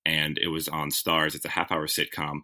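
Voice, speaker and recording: A man's voice: very deep voice, flat delivery, moderate pace, bright, forceful tone. A man being interviewed as a TV writer, telling stories in a reflective, self-correcting conversational way. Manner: reflective, self-correcting, with frequent "like" and "you know". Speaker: guest, TV writer.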